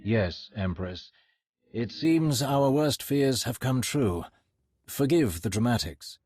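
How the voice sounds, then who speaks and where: An actor playing a courteous Sith lord in a video game, formal and measured, with polished deference. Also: male voice, mid-range voice, lively intonation, a little mellow, slightly rough, dry recording, clean studio sound. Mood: grave, deferential. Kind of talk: dramatic video game voice acting.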